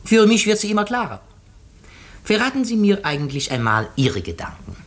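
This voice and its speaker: An actor playing a shrewd, self-assured foreign detective in a theatrical, didactic manner, in 1950s German radio drama style. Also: male voice, high voice, sing-song, normal speed, fairly bright tone, a little hiss or hum. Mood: confident, probing. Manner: theatrical, didactic, sprinkles in French exclamations.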